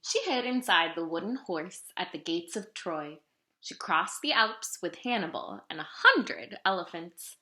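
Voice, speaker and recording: A woman reads a picture book aloud with lots of expression. Her pitch moves freely and her tone is bright and clear-cut. The room adds a noticeable echo around her storytelling. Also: unhurried. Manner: animated, dramatic storytelling delivery. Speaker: storybook reader. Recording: roomy, echoing room sound.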